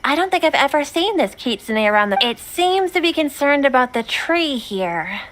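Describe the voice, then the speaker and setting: A woman's voice: sits high, sing-song, moderate pace, fairly bright tone, a little hiss or hum. A woman playing a dutiful, perceptive young shrine maiden in an anime video game, speaking formally and politely. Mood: puzzled, searching.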